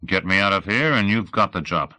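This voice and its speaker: A man's voice: deep voice, lively intonation, a little fast, sharp tone, no room sound, heavily acted, low-quality recording. A man voicing a small, cocky, still-growing photojournalist in an audiobook, with a dry, terse manner. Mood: gruff, cocky.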